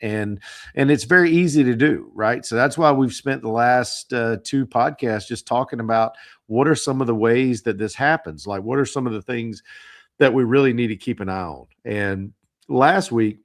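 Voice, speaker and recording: A man hosting a financial podcast, hoarse from the flu, talking casually with frequent fillers and repeated words. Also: mid-range voice, moderate pace. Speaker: podcast host.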